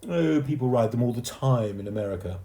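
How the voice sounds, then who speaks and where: A man's voice: medium pitch, lively intonation, fairly quick, warm tone, roomy sound, voice pushed up, close to an everyday voice. A man voicing a boastful know-it-all boy who talks in a bragging, scornful way.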